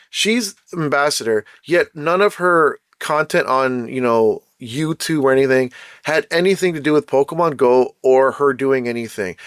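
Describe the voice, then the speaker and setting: Blunt man ranting, voice going hoarse. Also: fairly high pitch, dry recording, studio quality. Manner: blunt, emphatic, repeats points. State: losing his voice, throat-clearing. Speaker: channel host. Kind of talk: casual ranting commentary.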